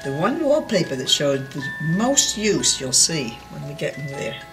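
A woman's voice: low voice, sing-song, measured pace, music in the background. An older woman chatting casually and unscripted as she gives a home tour, meandering and correcting herself as she goes. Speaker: dollhouse owner giving a tour. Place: indoors at home, filming a dollhouse.

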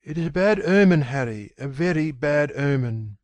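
A man playing a sensitive, anguished young gentleman, brooding and fearful, in a dramatic audiobook reading. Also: fairly high pitch, lively intonation, moderate pace, pitched above the performer's own voice, lightly acted. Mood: fearful foreboding. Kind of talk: dramatic audiobook character acting.

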